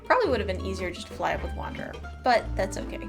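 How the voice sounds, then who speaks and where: A woman's voice: mid-range voice, big pitch swings, rapid-fire, creaky. A woman doing casual, playful gameplay commentary as a streamer, chatting away to herself. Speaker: game streamer. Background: game music.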